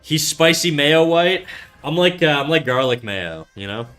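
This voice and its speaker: A man's voice: fairly high pitch, lively intonation, unhurried, a bit bright, faint music behind. A young man from Canada casually chatting on an art livestream, rambling and swearing, with lots of fillers and restarts. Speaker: art streamer. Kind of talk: casual livestream chat reading chat aloud.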